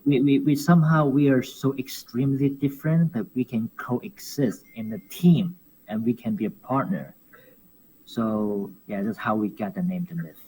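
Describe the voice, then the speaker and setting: Man, lively chat. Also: medium pitch, husky, rough recording. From Hong Kong. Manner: animated, laughs often, repeats words while searching for phrasing. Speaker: professional wrestler guest. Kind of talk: casual interview chat.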